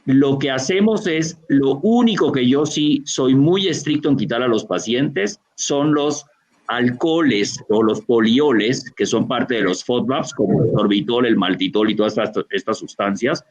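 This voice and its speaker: A gastroenterologist from Colombia with a Barranquilla accent, explaining a medical answer over a video call, with frequent fillers and restarts. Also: male voice, mid-range voice, a little slow. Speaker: gastroenterologist lecturer. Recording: dull lo-fi video call audio.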